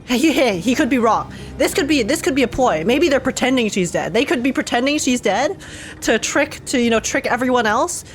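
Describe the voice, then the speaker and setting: Excitable woman reacting to a game. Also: on the high side, fairly quick, soft background music. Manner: excitable, repeats phrases for emphasis, slangy. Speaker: gaming streamer. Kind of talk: casual game reaction commentary.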